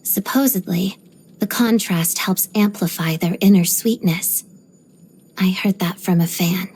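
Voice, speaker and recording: An actress voicing a reserved, stoic young ballerina in a video game, speaking softly and breathily in a measured, restrained way. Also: female voice, lowish voice, slightly rough, rough recording. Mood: calm, reflective. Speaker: video game character voice actor. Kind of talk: scripted game voice lines, introspective monologue.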